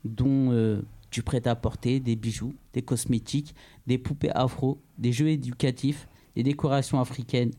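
A man's voice: mid-range voice, steady tone, moderate pace, warm tone. A man hosting a casual radio discussion, talking with many hesitations and restarts. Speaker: radio host.

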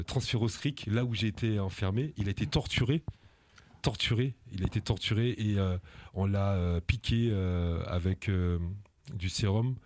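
Man, activist guest, halting, lots of fillers, indignant, dry close sound. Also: fairly low pitch, a little mellow, no room sound. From France. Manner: hesitant, halting, many fillers and restarts; earnest and indignant. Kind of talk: radio interview testimony.

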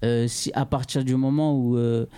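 A man's voice: medium pitch, steady tone, normal speed, mellow, clear, resonant tone. A man hosting a casual radio discussion, talking with many hesitations and restarts. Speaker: radio host.

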